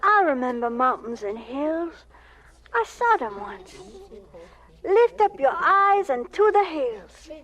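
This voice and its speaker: A woman's voice: high voice, sing-song, slow pace, fairly bright tone, exaggerated voice, low-quality recording. A woman playing an earnest, shy, polite young schoolboy in a radio drama. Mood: dreamy.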